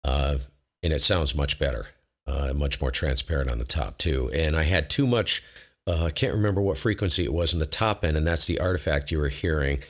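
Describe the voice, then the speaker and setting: A man with a very low voice hosting a casual ham radio livestream, talking informally and self-deprecatingly, often wandering mid-sentence. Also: brisk, a bit bright. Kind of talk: casual technical talk to a live chat.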